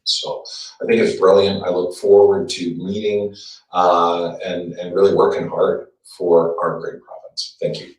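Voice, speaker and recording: Deep-voiced Canadian man in an echoey room. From Alberta, Canada. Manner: steady, earnest, halting with fillers. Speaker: party board candidate. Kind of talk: campaign self-introduction. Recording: echoey room, distant mic.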